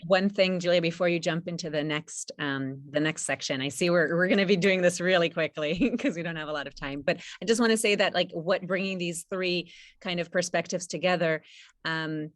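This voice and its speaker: A woman with a low voice presents a project to a panel in an informal way. She talks haltingly, searching for words, and the sound is close and dry. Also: no echo.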